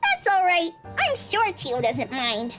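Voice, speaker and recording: A woman's voice: extremely high pitch, wildly swooping pitch, slow, a bit bright, faint music behind, full character voice. A woman voicing an eager, cheerful little schoolgirl in a visual novel game. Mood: cheerful, pleading.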